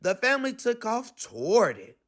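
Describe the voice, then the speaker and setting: High, animated male storybook reader. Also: normal speed. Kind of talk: expressive children's read-aloud narration.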